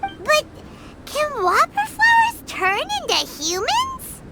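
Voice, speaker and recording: A woman voicing a small, excitable, childlike fairy companion in a video game, with a squeaky voice. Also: takes it slowly, very noisy, heavily acted. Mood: baffled. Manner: exclamatory, excitable.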